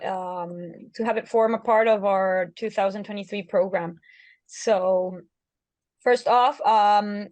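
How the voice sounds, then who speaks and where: Friendly, halting woman moderator, dry sound. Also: mid-range voice, darkish tone, no room sound. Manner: friendly, hesitant with fillers and restarts. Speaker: youth jury coordinator. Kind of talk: moderating questions, bilingual.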